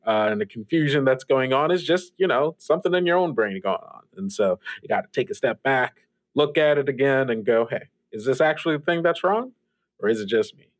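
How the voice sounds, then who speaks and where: A man's voice: mid-range voice, expressive, dry recording. Casual, rambling male podcast host, dry sound. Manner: rambling, self-deprecating, halting with repeated words. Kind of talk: casual radio-DJ style host chat to listeners.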